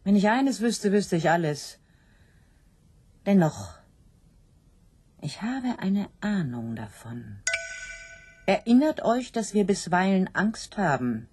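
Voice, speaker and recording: Anxious sow for radio play: brooding, very mellow and dark, husky edge. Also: female voice, mid-range voice, big pitch swings, slow, pauses often, heavily acted. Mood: anxious, doubting. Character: anxious, doubting sow pondering her fate. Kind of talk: literary radio drama acting.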